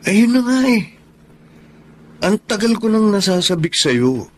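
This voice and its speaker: An actor playing Wilson, a pushy, impatient Filipino lover who keeps pressuring, in radio drama style. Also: male voice, high voice, big pitch swings, unhurried, exaggerated voice. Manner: insistent, pressuring. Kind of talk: Tagalog radio drama acting.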